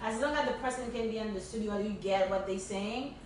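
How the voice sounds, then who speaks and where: A woman's voice: mid-range voice, fairly quick, slight background noise. A woman from Haiti co-hosting a podcast, speaking with emphatic repetition in casual, motivational chat. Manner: emphatic, repeating phrases for effect. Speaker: podcast co-host. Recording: echoey room from far off.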